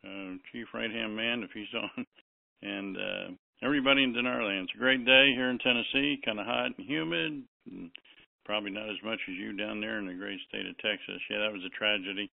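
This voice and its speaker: A man's voice: on the low side, brisk, creaky. A man co-hosting a call over the telephone, rambling casually in a hesitant, folksy way. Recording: thin, narrow phone line.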